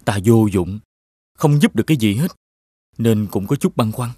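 An actor playing a gentle, wistful young prince in an animated fairy tale, pensive and sincere. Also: male voice, lowish voice, normal speed, dry recording, studio quality. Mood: wistful, troubled.

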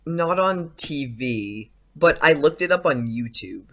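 An earnest, awkward high school student played by a man in an audio play. The voice sits a little high, with casual teenage phrasing. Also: lively intonation, unhurried, a bit bright, heavily acted. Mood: awkward, hesitant.

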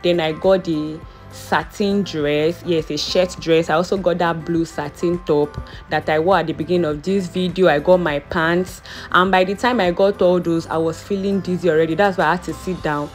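A woman's voice: on the low side, steady tone, normal speed, faint music behind. A young woman with a Nigerian accent, narrating her clothing haul in a chatty, playful, self-amused way. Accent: Nigerian English. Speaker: vlogger.

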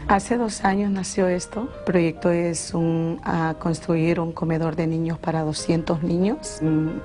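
A middle-aged woman, giving a personal testimony in an interview. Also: fairly low pitch. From Sonsonate, El Salvador. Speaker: interviewee, immigrant charity organizer.

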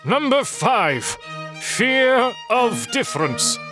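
A man with a high, very lively voice narrates theatrically. His delivery is grand and dramatic, with music playing behind him. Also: drawn-out words. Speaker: narrator of a comic dub.

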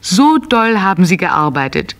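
A woman's voice: medium pitch, big pitch swings, a little slow. A woman narrating a scripted children's story, telling it as if to small children.

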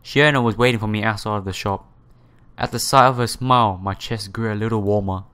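A man's voice: fairly low pitch, steady tone, fairly quick, a bit bright, clean tone. A man hosting a let's play, reading a visual novel aloud with casual asides and stumbling now and then over the words. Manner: stumbles over read words and repeats phrases.

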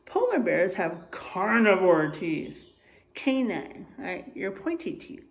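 A middle-aged woman, a kindergarten teacher reading a children's book aloud and pausing to explain things simply in a deliberate, expressive way. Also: medium pitch, vocal fry. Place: indoors, in a room with drywall and posters.

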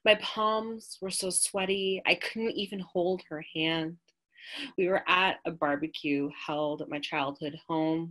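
A woman with an American accent reads her personal essay aloud from a small room at home, her voice sitting low and unhurried. She lingers on key phrases and slows for emphasis, picked up by a plain webcam mic on a video call. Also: a bit bright. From United States. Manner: measured, reflective reading with slowed emphasis. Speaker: writer reading her own work.

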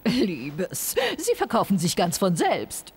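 An actress playing a prim, sweet, fussy elderly saleswoman with a husky voice in a video game. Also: mid-range voice, huge pitch swings, slow, darkish tone, a little hiss or hum. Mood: fussy, genteel. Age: older.

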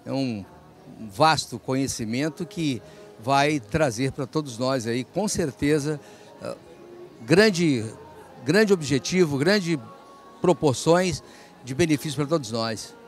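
A man's voice: medium pitch, lively intonation, slow pace, soft background music. A man speaking emphatically in an event interview, repeating words for stress, into a handheld reporter mic.

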